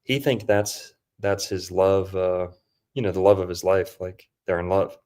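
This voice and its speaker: Low-voiced rambling male podcast host. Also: fairly even intonation, unhurried, a little mellow. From Florida. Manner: rambling, loose, filler-heavy. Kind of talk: casual conspiracy movie breakdown.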